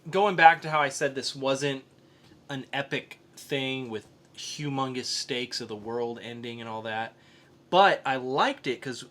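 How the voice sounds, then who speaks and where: A man's voice: mid-range voice, a little slow, fairly bright tone. A man hosting a casual film review podcast, explaining his opinions as he goes. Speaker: podcast host.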